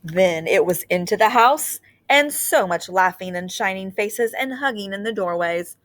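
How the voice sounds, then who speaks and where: Woman, medium pitch, teacher's read-aloud, picture book storytelling, expressive, deliberate phrasing. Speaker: teacher reading aloud to children.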